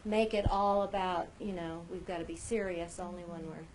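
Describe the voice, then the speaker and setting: A woman leading a casual group discussion, encouraging the others and quick to affirm what they say. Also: fairly low pitch, steady tone, a little mellow, a little hiss or hum, low-quality recording. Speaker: discussion facilitator.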